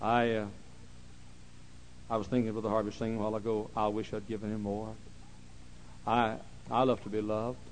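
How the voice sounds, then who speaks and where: A man preaching a sermon, repeating phrases and swinging from quiet, slow talk to loud shouting. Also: on the low side, flat delivery, a little hiss or hum, rough recording.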